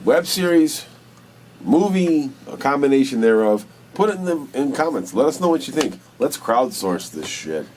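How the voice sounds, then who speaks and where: A man's voice: mid-range voice, sing-song, moderate pace, a little mellow. A drunk man co-hosting a casual chat, swearing freely and talking in emphatic slang. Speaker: co-host. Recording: noticeable background noise.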